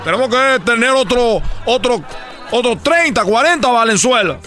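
A man's voice: very high-pitched, animated, normal speed, bright, forceful tone, faint music behind, heavily acted. A man voicing a boastful Cuban baseball player with a put-on Cuban accent, joking and rambling. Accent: Cuban Spanish put on.

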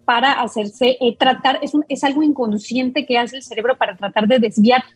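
A woman's voice: on the high side, steady tone, moderate pace, slightly rough, soft background music. A woman doctor, explaining a topic patiently as a guest on a talk show. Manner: explains patiently, with many fillers and restarts.

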